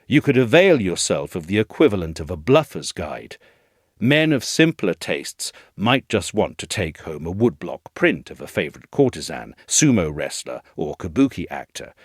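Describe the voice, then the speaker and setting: A man reading a scripted audio essay in a measured, articulate storytelling manner. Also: lowish voice, dry recording, studio quality. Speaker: essay narrator, reader.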